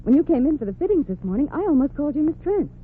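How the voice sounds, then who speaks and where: Friendly young saleswoman; slightly high, lively, tinny vintage broadcast. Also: rapid-fire, slight background noise. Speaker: store clerk. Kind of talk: 1940s radio comedy acting. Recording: tinny old radio broadcast.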